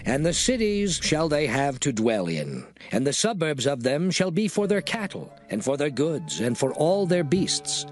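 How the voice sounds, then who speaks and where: An actor voicing the Lord's laws in a dramatized scripture reading, measured and declaiming. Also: male voice, a little high, big pitch swings. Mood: solemn. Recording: studio voice over music bed.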